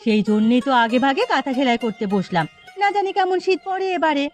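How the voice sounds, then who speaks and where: নারীকণ্ঠে উঁচু গলার যত্নশীল গ্রাম্য মা. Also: female voice, high-pitched, lively intonation, fast talker, faint music behind. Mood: fussing. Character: caring village mother, young niece, mildly exasperated. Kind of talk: cartoon story dialogue.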